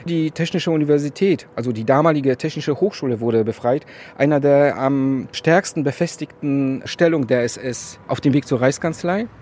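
A man's voice: mid-range voice, normal speed, few pauses, darkish tone, slight background noise. A man, speaking earnestly and with measure in a radio interview. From Poland. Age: 40s.

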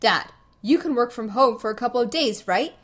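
An actress playing a level-headed young adult daughter who calmly reasons and lectures her father in an audio play. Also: on the high side, drawn-out words, heavily acted. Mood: reasoning.

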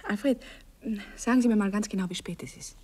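A woman plays a young married lady in a radio drama, coy and anxious. Her soft voice is breathy and husky, with a fretful, protesting manner. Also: mid-range voice, brisk, lightly acted. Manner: fretful, protesting, formally addressing. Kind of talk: radio play acting.

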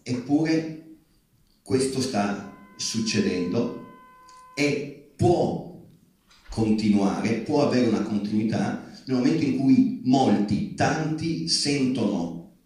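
A man's voice: medium pitch, expressive, slow, a little mellow. A man giving an earnest, emphatic public speech from a stage, building in short repeated phrases. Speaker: activist speaker. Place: live theatre stage. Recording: echoey hall, distant microphone.

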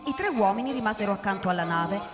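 A woman's voice: medium pitch, lively intonation, fast. A woman narrating a radio drama in a literary, scripted style. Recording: reverberant hall with music behind.

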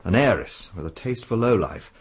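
A man playing an urbane, wry English gentleman writer in a radio drama, with a husky voice. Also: fairly low pitch, animated, moderate pace. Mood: relating, wry. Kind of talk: British radio drama acting.

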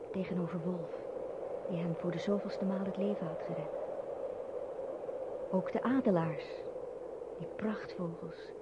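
A woman narrating a radio play in a measured, dramatic storytelling manner. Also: mid-range voice. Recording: dull, very noisy old radio recording.

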